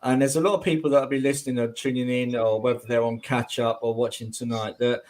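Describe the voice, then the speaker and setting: A man's voice: mid-range voice, level tone, quick pace, a little mellow. A man hosting a spiritual talk show, asking his guest long, wandering questions full of restarts, with a strained voice, on a video call from home. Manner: rambling questions with repeated starts. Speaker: podcast host interviewing a medium. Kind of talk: casual interview chat.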